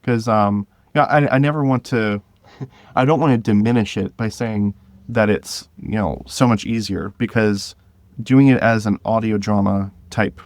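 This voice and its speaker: A man's voice: on the low side, steady tone, unhurried, no echo. A man speaking as a podcast guest, chatting casually and earnestly, rephrasing as he goes. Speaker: podcast guest, creator of a video podcast drama. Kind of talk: casual interview chat.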